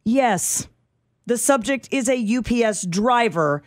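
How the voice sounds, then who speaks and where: Deadpan woman reading scanner reports. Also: a little high, slow pace, dry recording. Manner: dry, matter-of-fact dispatcher delivery. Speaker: radio show co-host. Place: radio studio. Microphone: studio microphone.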